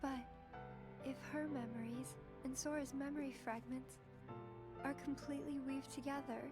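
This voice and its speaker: An actress playing a gentle, solemn young girl in a fantasy video game, speaking softly and carefully. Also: high-pitched, steady tone, normal speed, natural voice. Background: game music.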